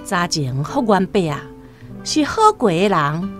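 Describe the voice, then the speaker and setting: A woman narrates a radio drama with a Taiwanese accent. Her delivery is unhurried and dramatic, with sweeping intonation over faint music. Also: lowish voice. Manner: expressive, dramatic storytelling. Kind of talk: literary radio drama storytelling.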